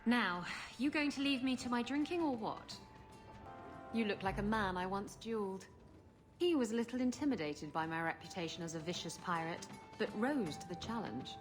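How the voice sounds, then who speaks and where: A woman's voice: medium pitch, expressive, normal speed, close to an everyday voice. An actress playing a flirtatious, boastful female pirate captain in a video game. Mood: boastful, sultry. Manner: flirtatious, playful, teasing. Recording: background music.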